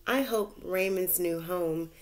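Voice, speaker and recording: Woman putting on a bright young shark boy. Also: on the low side, slow pace, rough recording. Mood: wistful. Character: cheerful young male shark.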